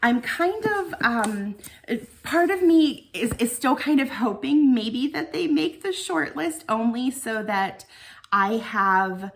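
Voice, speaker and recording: A woman's voice: fairly high pitch, lively intonation, slow pace, slight background noise. An American woman giving a casual book review to viewers in an earnest, reflective, conversational way. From United States.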